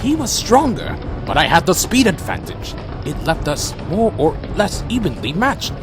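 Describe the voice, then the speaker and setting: A man acting a defiant, intense vampire warrior who narrates his tale gravely and theatrically in a fan audio drama. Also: high-pitched, very animated, moderate pace, over music. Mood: grave narration. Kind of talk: dramatic first-person narration and acted dialogue.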